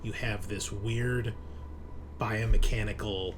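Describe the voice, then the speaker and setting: A man's voice: on the low side, steady tone, slow pace, background noise. A man narrating a tabletop horror roleplaying game as game master, descriptive and conversational, with some swearing.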